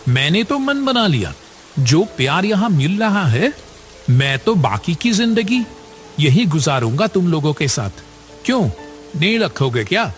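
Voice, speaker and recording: A man voicing a kindly, generous older village headmaster in a cartoon story, chatty and avuncular. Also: on the high side. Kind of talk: Hindi cartoon story acting.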